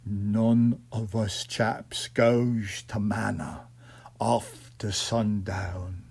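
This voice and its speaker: A man's voice: lowish voice, fairly even intonation, very slow, gravelly, a little mellow, husky, voice pushed down, full character voice. A man voicing a stubborn, superstitious village man in a story, sullen and rustic.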